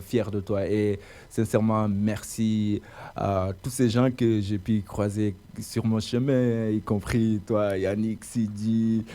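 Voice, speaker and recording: A young man with a warm, mellow voice tells his story in a relaxed, chatty way. He talks with earnest conviction and keeps dropping casual conversational fillers as he goes. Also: on the low side. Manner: earnest, colloquial, heavy use of "tu vois" and "quoi" fillers. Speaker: radio presenter interviewed as guest.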